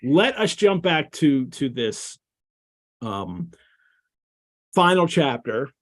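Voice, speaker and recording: A man's voice: mid-range voice, very animated. An older man talks over a video call, his voice rising and falling widely as he goes. He stops and restarts often, filling the gaps with uh and um. It is an easy, unscripted conversation about a book. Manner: halting, many fillers and restarts. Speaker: cohost. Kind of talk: casual chat about a novel. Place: home room with bookshelves.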